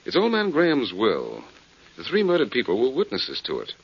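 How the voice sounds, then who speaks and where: An actor playing a cool, quick-witted, wry male detective with clipped delivery in a 1940s radio drama. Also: mid-range voice, huge pitch swings, husky, exaggerated voice. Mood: sly bluffing. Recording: dull 1940s radio recording.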